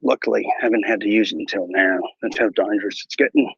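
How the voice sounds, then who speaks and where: A man playing a bumbling, boastful wilderness ranger who hosts a mock nature show, talking in a mock Australian accent with hushed, mock-serious narration and a husky voice. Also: lowish voice, expressive, fast talker, no echo, highs cut off, exaggerated voice. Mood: hushed bravado. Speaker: mock wildlife show host. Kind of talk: improvised parody nature documentary.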